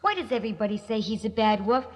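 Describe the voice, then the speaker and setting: A woman's voice: medium pitch, very animated, fast talker, slight background noise, voice pushed down, lightly acted. A woman voicing a cheerful, trusting young girl with a sweet, innocent delivery. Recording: echoey recording.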